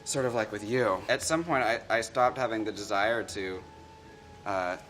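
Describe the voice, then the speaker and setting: A man, a drag queen makeup artist, chatting in a campy, animated way with big pitch swings on his exclamations as he hosts a makeover. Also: moderate pace, faint music behind. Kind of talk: casual chat, playful. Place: makeup studio.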